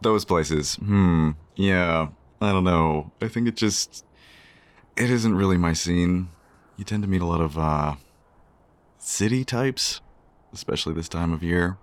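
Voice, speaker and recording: An actor playing a shy, self-conscious man in a romantic radio play, speaking hesitantly. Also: low-pitched. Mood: wry, casual. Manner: hesitant, halting, with sheepish chuckles. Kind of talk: naturalistic radio play dialogue.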